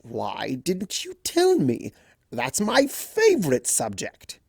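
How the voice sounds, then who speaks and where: Male trickster beast, smug and teasing, slow swooping drawl, played by a fan fiction reader. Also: medium pitch, gravelly, husky, no echo, clean studio sound, pitched above the performer's own voice, heavily acted. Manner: drawling, cooing, mock-flattering.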